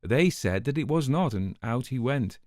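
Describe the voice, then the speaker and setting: A man's voice: medium pitch, lively intonation, normal speed, darkish tone, no room sound, natural voice. An actor playing an urbane, sardonic older man telling a story in a radio drama, with dry irony and precise wording. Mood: dry amusement.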